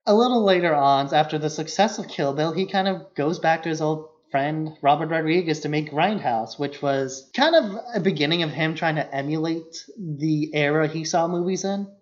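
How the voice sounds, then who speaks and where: A man's voice: fairly high pitch, normal speed, few pauses, muffled recording. A man co-hosting a podcast, chatting casually about films with informal, loosely phrased opinions. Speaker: podcast co-host. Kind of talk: casual film chat.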